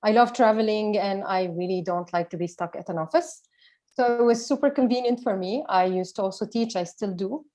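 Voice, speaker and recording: A woman speaks in a mellow, warm tone as a panel guest. She answers plainly and without fuss. The sound comes over a video call. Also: mid-range voice, fairly quick. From Lebanon.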